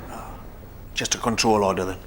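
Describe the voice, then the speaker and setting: A man's voice: lowish voice, fairly even intonation, fairly quick, slight background noise, lightly acted. A man in a British TV drama speaking up indignantly.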